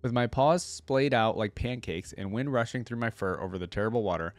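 A man's voice: medium pitch, a little fast, no room sound. A young man reading a story aloud and commenting casually as a reaction video host. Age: young adult.

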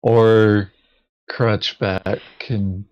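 A man plays through a board game in dry, laconic casual chat. He talks extremely slowly at a middling pitch, and the microphone sounds slightly muffled. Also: flat delivery, smooth.

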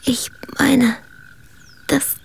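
An actress in a radio drama playing a gravely injured woman, weak and struggling to speak in halting, breathy phrases. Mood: weak, urgent. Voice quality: husky, breathy whisper. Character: gravely injured dying woman gasping out a secret.

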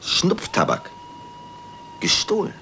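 An actor playing an exasperated, sardonic police inspector in a German crime radio play, dry and incredulous. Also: male voice, slow, bright, forceful tone, slight background noise.

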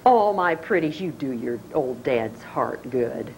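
A woman's voice: a bass voice, animated, slow pace, darkish tone, a little hiss or hum, pitched below the performer's own voice, exaggerated voice, poor audio. A woman voicing a doting, flirtatious middle-aged father who is a senator, in a solo stage reading. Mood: fond. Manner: doting, syrupy endearments.

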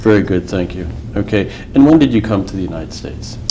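Low-voiced lawyer, measured and formal. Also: male voice, animated, mellow, a little hiss or hum. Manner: measured, formal, with filler pauses. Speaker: attorney questioning a witness. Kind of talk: formal deposition questioning.

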